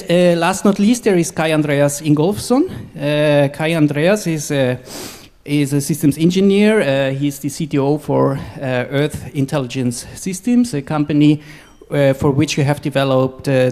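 A man from Costa Rica moderating a conference panel, introducing and questioning guests in a hesitant, halting way with frequent stops mid-phrase. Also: fairly high pitch, a little mellow.

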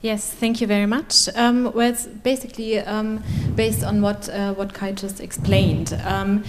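A woman speaking as a researcher on a panel, explaining policy in a careful, measured, formal way. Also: medium pitch, fairly even intonation. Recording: echoey room with clear background noise.

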